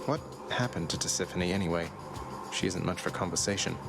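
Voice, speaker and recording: An actor playing a young prince of the underworld who talks in a dry, good-humored and polite way. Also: male voice, sits low, a little fast, vocal fry. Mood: friendly. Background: loud game music.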